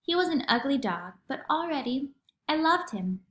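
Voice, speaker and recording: A woman reads a children's book aloud like a teacher to her class. Her voice is high and swoops expressively through the sentences. The sound is roomy and echoey. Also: normal speed. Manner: animated, acts out dialogue. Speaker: teacher reading aloud for a lesson.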